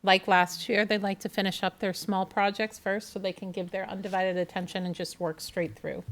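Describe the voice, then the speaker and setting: A woman on town staff giving a brisk, informative update at a town committee meeting. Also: mid-range voice, level tone.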